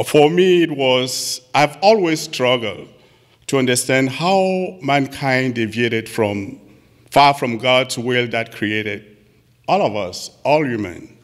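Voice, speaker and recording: A man from Cameroon, giving a halting, earnest personal reflection at a church gathering. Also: medium pitch, fairly bright tone. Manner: halting, earnest, with frequent pauses. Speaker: church trip participant sharing reflections. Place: church sanctuary. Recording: long reverb of a large hall.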